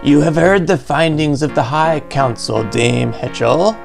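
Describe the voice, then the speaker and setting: A man's voice: fairly high pitch, a little slow, vocal fry, soft background music. Man acting a stern, accusing council elder. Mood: stern accusation. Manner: formal, accusing, severe. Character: stern, indignant council elder passing judgment.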